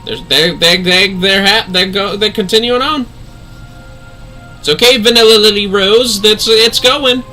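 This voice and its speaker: A man's voice: sits high, unhurried, pushed and bright, soft background music, poor audio. A man giving casual, sweary and jokey livestream commentary on a game, often exclaiming. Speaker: livestream host.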